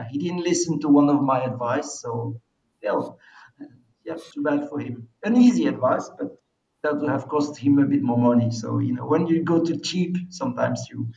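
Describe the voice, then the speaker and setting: A man's voice: mid-range voice, vocal fry, warm tone. A man from Belgium with a Belgian accent, speaking English as a second language as an adventurer being interviewed. He talks conversationally and emphatically about his own experiences. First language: not English. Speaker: interview guest, expedition adventurer. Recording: roomy, distant, dull microphone sound.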